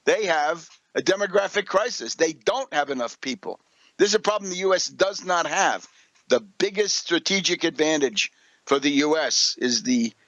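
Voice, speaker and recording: A man's voice: fairly high pitch, fairly bright tone, no echo, lo-fi sound. A man delivering political and economic commentary as a radio host, lecturing and putting rhetorical questions to the listener. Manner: lecturing, didactic, with rhetorical questions and check-ins.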